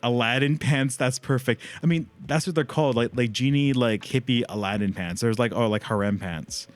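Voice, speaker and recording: A man's voice: medium pitch, moderate pace. A man chatting casually as a livestream host and gamer, rambling in loose slang with frequent swearing.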